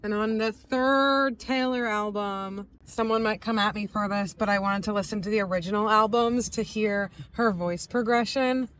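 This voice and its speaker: A woman's voice: mid-range voice, steady tone, moderate pace, hardly any pauses, fairly bright tone, slight background noise. A young woman from the US East Coast, narrating a casual travel vlog in a chatty, upbeat way with lots of "like". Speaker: vlogger. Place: inside a car on a road trip.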